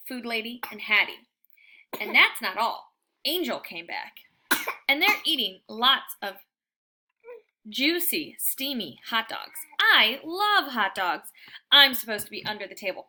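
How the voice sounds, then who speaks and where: A woman reading a children's book aloud in an animated, emphatic storytelling style. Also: fairly high pitch. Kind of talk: expressive children's book narration.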